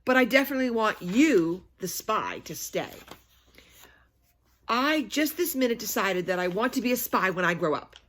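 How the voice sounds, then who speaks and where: A woman's voice: medium pitch, expressive, moderate pace, a bit bright. A woman voicing a dramatic, bargaining young girl in a children's book, pleading and emphatic. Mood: earnest. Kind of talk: children's book read-aloud.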